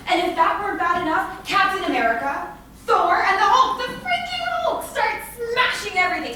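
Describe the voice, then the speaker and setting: A woman's voice: very high voice, sharp tone, heavily acted. An actress playing an anxious, stubborn young woman in a staged play reading, emphatic and rambling as she frets about catastrophe. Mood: agitated, indignant. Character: anxious young woman, dramatic, stubborn, afraid to leave her room. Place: live staged reading in a reverberant room. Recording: echoey room from far off, noisy.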